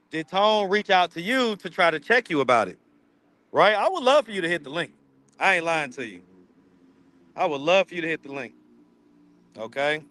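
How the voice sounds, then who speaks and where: A man's voice: fairly high pitch, bright, forceful tone. A man with a slight lisp, hosting a casual livestream and chatting with viewers as he reads out their comments. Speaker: livestream host. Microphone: streaming mic.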